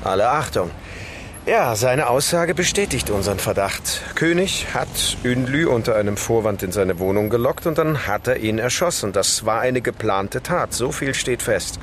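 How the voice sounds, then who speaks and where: An actor playing a confident, self-satisfied police inspector in a naturalistic radio crime drama, talking in a matter-of-fact, assured way. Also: male voice, medium pitch, lively intonation, moderate pace, slight background noise, natural voice.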